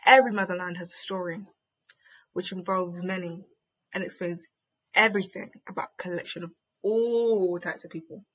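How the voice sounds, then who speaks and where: A young woman performing a spoken word piece in a measured, rhythmic way, then chatting on a podcast. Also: lowish voice, steady tone, husky, rough recording. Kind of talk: spoken word reading, then casual discussion.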